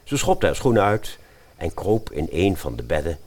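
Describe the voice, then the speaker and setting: Narrator: man, lowish voice, hugely varied intonation, scripted fairy-tale storytelling. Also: big pitch swings, moderate pace, a little mellow.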